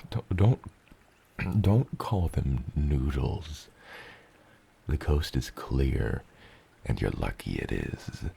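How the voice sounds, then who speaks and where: A man voicing a gentle, proud dragon father in an ASMR roleplay, tenderly encouraging his hatchling in a gravelly, often breathy and whispered voice. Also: very deep voice, wildly swooping pitch, slight background noise, exaggerated voice. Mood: playful, caring. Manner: slow, tender, encouraging, softly playful.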